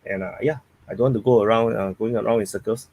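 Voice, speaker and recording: A man's voice: on the low side, fairly even intonation, fast, a little mellow, husky. A man with a Singaporean accent hosting a live stream, rambling and thinking aloud while he reads viewers' chat.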